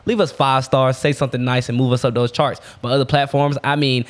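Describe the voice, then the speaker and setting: A man's voice: mid-range voice, level tone, fairly quick. A man hosting a podcast, talking in a hyped, slangy way and laughing easily. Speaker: podcast host. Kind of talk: casual podcast chat.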